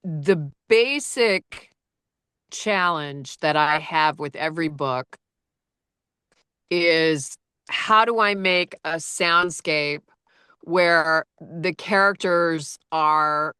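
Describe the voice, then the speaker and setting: A woman audiobook narrator with a low-register voice, chatting casually and rambling with lots of fillers and restarts. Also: pushed and bright, clean tone, dry recording, clean studio sound. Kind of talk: casual conversation.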